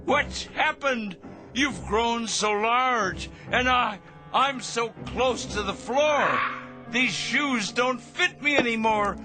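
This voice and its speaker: An actor playing a pompous, paranoid megalomaniac in a radio drama, with a theatrical, feverishly excitable, ranting manner. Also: male voice, extremely high pitch, takes it slowly, over music. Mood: bewildered, terrified.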